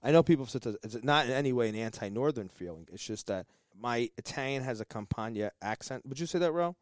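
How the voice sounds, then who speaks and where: A man co-hosts a podcast and makes his points emphatically, with firm opinions and advice. His voice is smooth and clear at a middle pitch. The sound is close and dry. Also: expressive, fast talker, dry recording. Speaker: podcast co-host. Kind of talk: casual podcast conversation.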